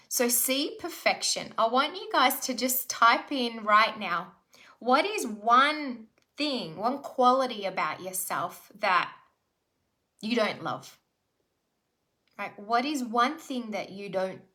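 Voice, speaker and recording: A woman's voice: mid-range voice, bright, forceful tone. A middle-aged woman leading a live online self-development workshop, talking conversationally and checking in with rhetorical questions. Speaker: spiritual life coach.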